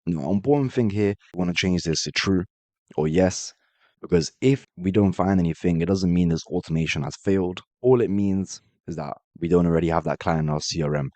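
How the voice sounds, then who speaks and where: A man with a cold walking viewers through a software automation build in a brisk, direct screen-share tutorial. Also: low-pitched, dry recording. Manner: brisk, direct step-by-step walkthrough addressing viewers as guys. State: has a cold, voice a bit off. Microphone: voice-over on screen recording.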